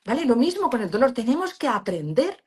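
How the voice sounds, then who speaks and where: A woman's voice: a little high, brisk, breathy edge. A middle-aged woman with a Spanish accent from Spain, whose first language is Valencian, explaining pain therapy as an interview guest in an emphatic, teacherly way. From Valencia region, Spain. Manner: emphatic, expressive, teacherly, with many fillers and restarts.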